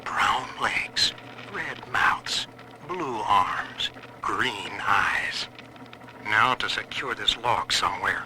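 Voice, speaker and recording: A man's voice: fairly low pitch, expressive, very slow, very noisy. A man playing a forgetful man dictating a reminder in a video game audio log. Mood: muttering, deliberate.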